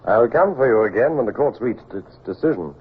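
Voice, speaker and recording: Animated, courteous naval officer. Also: male voice, mid-range voice, a little fast, slightly rough. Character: loyal, decent male naval officer, courteous escort. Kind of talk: old-time radio acting. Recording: tinny, noisy old radio recording.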